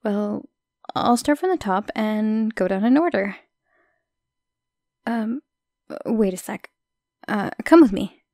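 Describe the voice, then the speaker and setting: A young woman playing a shy, friendly, modest minor goddess in an audio roleplay, chatting hesitantly with soft asides. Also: medium pitch, expressive, takes it slowly, long pauses, no echo, studio quality. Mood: helpful, friendly.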